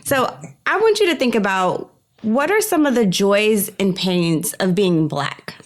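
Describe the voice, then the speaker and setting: A woman's voice: medium pitch, animated, a little slow. A woman hosting a podcast and interviewing a guest in a conversational style.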